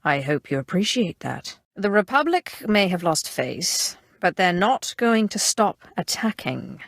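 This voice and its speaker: A woman playing an imperious, calculating Sith sorcerer in a video game, with a measured, haughty and coolly threatening delivery. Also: fairly low pitch, big pitch swings, no echo, clean studio sound, exaggerated voice. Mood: cool, commanding. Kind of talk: scripted game character dialogue.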